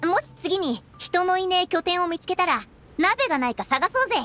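Tough loud rocker girl, rough boyish talk, high and bouncy, music under it. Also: big pitch swings, fairly quick, full character voice. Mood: cheerful. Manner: rough, blunt, boyish speech. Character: brash tough young woman rocker. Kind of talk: game voice acting.

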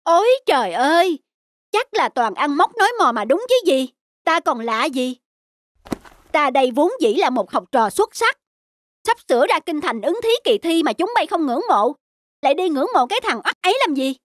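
A woman's voice: high voice, big pitch swings, normal speed, no room sound, clean studio sound. A woman voicing an arrogant young male village scholar in a cartoon, smug and taunting, over background music. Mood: scornful. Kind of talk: cartoon dubbing.

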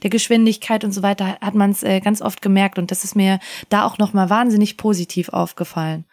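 A woman chats casually as a podcast co-host. Her voice sits at a middling pitch and is recorded very dry, with hardly any room sound. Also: fairly even intonation, a little fast, a little mellow, dry recording.

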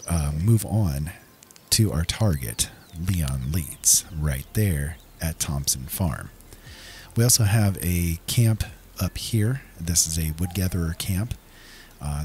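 Male gaming host, low register, measured explanatory let's-play delivery, halting phrasing, game ambience behind. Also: darkish tone, a little hiss or hum. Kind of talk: casual let's-play commentary.

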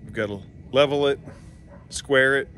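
A man's voice: mid-range voice, unhurried, slight background noise. A man in his thirties chatting casually in a vlog, speaking into a phone held at arm's length outdoors. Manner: relaxed, offhand check-ins. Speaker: vlogger on a building project. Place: outdoors on a hillside site and road.

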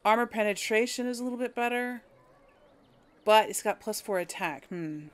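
A woman's voice: on the high side, animated, moderate pace. A woman giving casual game commentary, thinking aloud in a hesitant, musing way as she hosts. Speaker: gaming video host.

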